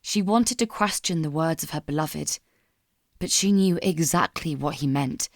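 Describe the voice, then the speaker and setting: A woman narrator reads dramatic fiction in a somber, measured way. Her voice sits a little low, and the recording is dry and close to room-free. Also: no echo. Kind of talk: dramatic scripted story narration.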